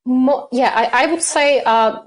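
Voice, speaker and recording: Woman, higher voice, hesitant. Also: measured pace. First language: Czech. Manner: hesitant, many fillers and self-corrections. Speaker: cookbook author, guest. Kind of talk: interview answers.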